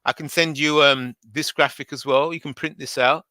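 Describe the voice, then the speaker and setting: A man hosting a livestream in casual chat, hoarse, rambling and repeating himself with many restarts. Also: fairly high pitch, fairly even intonation, moderate pace, bright, forceful tone, no room sound. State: hoarse, voice going. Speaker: livestream host.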